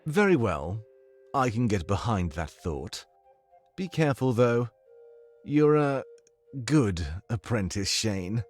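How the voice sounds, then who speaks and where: Man playing measured, cautioning male mentor, game villain, deep, animated pitch, music behind. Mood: reflective, trusting. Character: scheming, stern male furry master of an apprentice.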